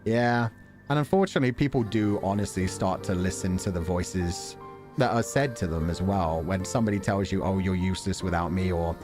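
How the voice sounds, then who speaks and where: Low voiced guy on a stream reading a story game out loud and chatting, music clearly playing under him. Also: fairly quick. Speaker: livestream host playing a visual novel. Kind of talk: reading game narration aloud with casual asides to viewers.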